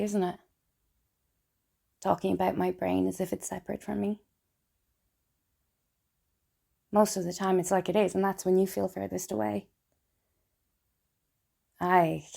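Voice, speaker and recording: A woman acting a melancholy, wryly funny and tipsy character in an intimate, halting theatrical monologue. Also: lowish voice, flat delivery, gravelly, warm tone, husky, exaggerated voice. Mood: reflective, hesitant. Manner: halting, intimate, dramatic pauses. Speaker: stage actor performing a solo monologue. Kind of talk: confessional theatrical monologue, spoken as a letter.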